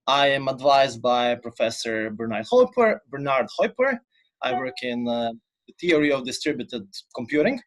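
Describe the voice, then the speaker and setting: A man's voice: mid-range voice, very animated, normal speed. A man from Croatia in his twenties, a PhD student, explaining his research step by step in an interview.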